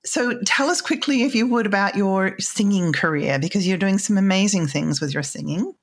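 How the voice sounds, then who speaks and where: A woman hosting a podcast interview, encouraging her guest and quick to agree with her. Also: mid-range voice, husky. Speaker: podcast host.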